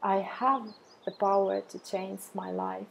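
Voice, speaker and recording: Female meditation guide. Very slow, even delivery with a creaky edge, soothing and measured. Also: medium pitch. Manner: soothing, measured, deliberate phrases with long pauses.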